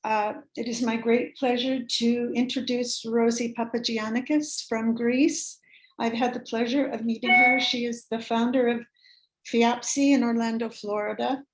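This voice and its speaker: Higher-voiced woman introducing a speaker. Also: fairly even intonation, unhurried, low-quality recording. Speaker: event introducer, realtor. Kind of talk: formal introduction. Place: office.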